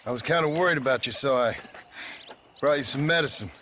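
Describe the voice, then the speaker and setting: An actor playing a polite, wry cowboy drifter in a video game, with an American accent and laconic delivery. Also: male voice, mid-range voice, quick pace, background noise, close to an everyday voice. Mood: wry concern. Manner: laconic, courteous, dry. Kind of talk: video game dramatic acting.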